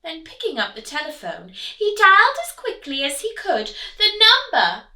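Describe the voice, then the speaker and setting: A woman teacher reading a rhyming storybook aloud to children in a sing-song, animated way, with little asides to her listeners. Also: extremely high pitch, normal speed, recorded from a distance. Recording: echoey room, voice from the mic.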